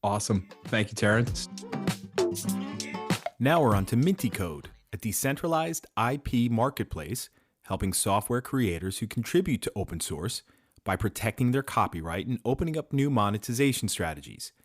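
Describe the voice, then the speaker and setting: Male podcast host, lively intonation, scripted introductions, dry recording, faint music. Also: lowish voice, normal speed, dry recording. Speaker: host introducing startup founders.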